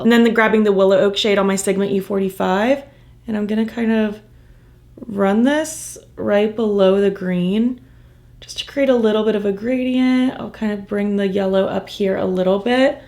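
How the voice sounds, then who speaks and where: Woman, makeup tutorial chat, gushing, slightly croaky voice, soft murmurs. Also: mid-range voice. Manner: gushing, enthusiastic, soft murmurs while applying. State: losing her voice, a bit croaky. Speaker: makeup vlogger.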